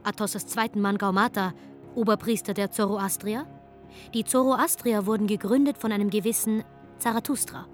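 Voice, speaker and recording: A woman narrates a radio drama with faint music behind her. Her delivery is scripted, poetic and dramatic, at an average pitch. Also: steady tone, moderate pace.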